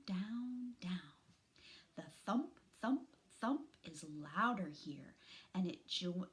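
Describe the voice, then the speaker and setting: A middle-aged woman reading a children's storybook aloud in an expressive, dramatic, deliberate way. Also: medium pitch. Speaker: teacher reading aloud to students. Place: small furnished room at home, indoors.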